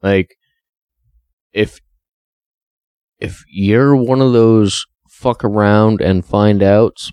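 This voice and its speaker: Man, podcast co-host, deep dark gravelly voice, slow pace, dry close mic. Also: no room sound. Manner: slow, halting, profane, many fillers. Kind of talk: casual podcast chat.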